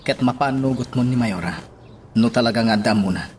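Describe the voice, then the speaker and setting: A man's voice: mid-range voice, brisk, a little mellow, lightly acted. Man acting a mid-voiced, cautioning friend. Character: concerned, cautioning male friend urging respect for law. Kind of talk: radio drama acting. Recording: music bed under the voice.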